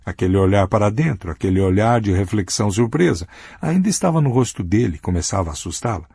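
A man with a husky voice reading a novel aloud as an audiobook narrator, giving characters small voice shifts in dialogue. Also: lowish voice, lively intonation, quick pace, mellow, dry recording. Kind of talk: scripted narration.

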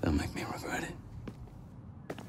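An actor playing a gruff, cynical middle-aged militia leader in a game, grudging and preachy in manner. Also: male voice, slow, close to an everyday voice. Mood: bitter conviction.

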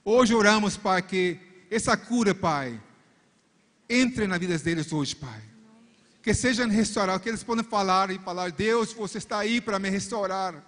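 A middle-aged man whose first language is English preaches in careful Portuguese, his voice on the higher side for a man. He prays fervently into a handheld mic, repeating "Father" between phrases. Long reverb from a big arena hangs on every line. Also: moderate pace, a bit bright. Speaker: couple's coach. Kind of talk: preaching and prayer at a church conference. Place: large arena stage with a crowd. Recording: long reverb of a large hall.

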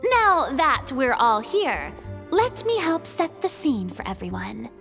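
A woman's voice: high-pitched, big pitch swings, slow, gravelly, in a large hall, music in the background, heavily acted. A woman voicing a theatrical, commanding young film director in a video game, with dramatic, grand delivery. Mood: confident, instructive.

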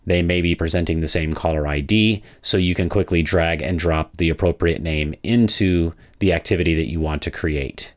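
A man narrating a product walkthrough as a software demo presenter, in a methodical, explanatory way. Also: sits low, moderate pace, hardly any pauses.

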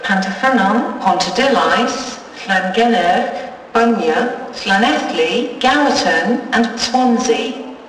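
A woman with a British accent making formal, measured station announcements, phrase by phrase. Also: medium pitch, fairly bright tone, recorded from a distance, slight background noise, low-quality recording. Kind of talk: recorded public announcements.

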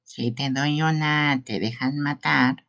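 A performer voicing a creepy young male gravedigger in a live fan dub of a game, whiny and pleading, speaking slowly. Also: mid-range voice, fairly even intonation, a bit bright. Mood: sulky.

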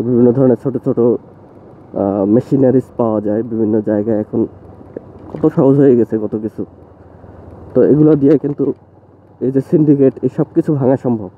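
A young man talks loosely and opinionatedly while riding a motorbike along village roads. His voice sits at an ordinary middle pitch. It comes through a thin, phone-like bike-mounted recording with faint traffic and wind noise behind. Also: a little fast, long pauses. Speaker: motovlogger. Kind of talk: casual talk to camera while riding. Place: outdoors on a motorbike on rural roads.

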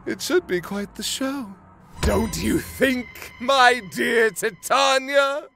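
A man's voice: very high voice, takes it slowly, vocal fry, music in the background, full character voice. A man voicing a smug, pompous fairy king villain in an anime parody dub, with a theatrical manner.

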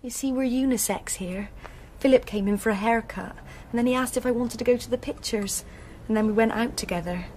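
An actress as a grieving young hairdresser. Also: female voice, a little high, fairly quick, hardly any pauses, darkish tone, slight background noise, lightly acted. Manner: plain-spoken, distraught. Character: young woman hairdresser grieving her murdered boyfriend. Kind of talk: BBC radio drama acting.

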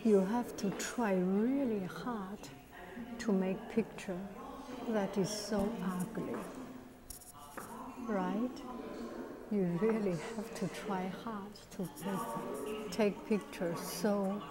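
Slow, hushed woman touring a museum. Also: medium pitch, fairly even intonation, loud music behind the voice. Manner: critical, hesitant, whispering at times. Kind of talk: casual spoken commentary. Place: indoor art museum gallery. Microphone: earphone mic, phone camera held at arm's length.

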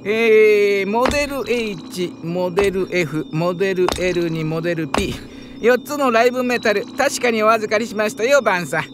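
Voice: medium pitch, normal speed, background noise, lightly acted. An actor playing a helpful, fussy robot uncle in a Japanese audio drama, speaking formally and courteously. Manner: courteous, formal, mildly flustered. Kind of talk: Japanese anime-style audio drama acting.

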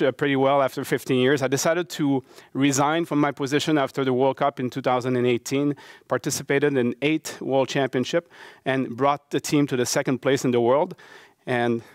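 A man's voice: medium pitch, clean studio sound. A middle-aged man from Quebec City with a French accent, giving a conference talk in a university lecture hall. He speaks earnestly, with many fillers and restarts. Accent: Québécois French. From Quebec City, Canada. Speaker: conference speaker, baseball coach and professor.

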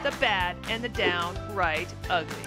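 A woman's voice: lowish voice, measured pace, music in the background. A woman co-hosting a channel, reading a scripted intro narration in an upbeat, promotional way.

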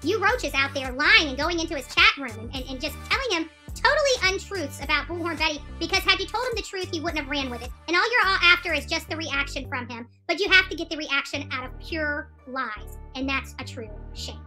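A woman's voice: sits high, lively intonation, a little fast, hardly any pauses, bright, forceful tone, faint music behind. A middle-aged woman ranting to viewers on a livestream in a confrontational, scolding manner. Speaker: livestream channel host. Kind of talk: indignant livestream rant to viewers. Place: small room indoors, seated on a couch.

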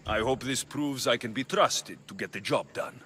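An actor with a Greek accent playing a bold, probing mercenary in a video game, speaking in a direct, challenging way. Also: male voice, mid-range voice, normal speed, a bit bright, natural voice. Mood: probing, assured. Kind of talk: video game dialogue acting. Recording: game audio with noticeable ambient noise.

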